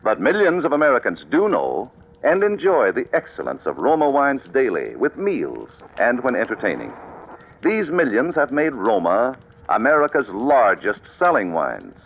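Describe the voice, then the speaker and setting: A man's voice: medium pitch, huge pitch swings, moderate pace. Tinny old-time radio announcer man. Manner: formal, polished salesmanship. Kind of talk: scripted 1940s radio advertising read. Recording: tinny vintage radio broadcast, music behind.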